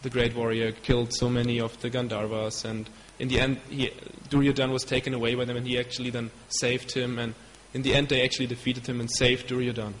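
A man from Germany with a German accent, a devotee giving a storytelling talk. He speaks haltingly and stops to search for English words. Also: medium pitch, fairly bright tone, a little hiss or hum. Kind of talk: storytelling lecture. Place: hall in Belgium. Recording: long hall reverb with faint ambience.

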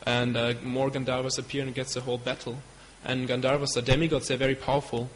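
A man with a German accent recounts an old epic to a listening audience, pausing often and restarting his phrases as he searches for English words. His middle-pitched voice carries a long hall reverb, with a faint hum of ambience underneath. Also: little pitch movement. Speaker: devotee giving a talk. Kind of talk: storytelling lecture. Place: hall in Belgium.

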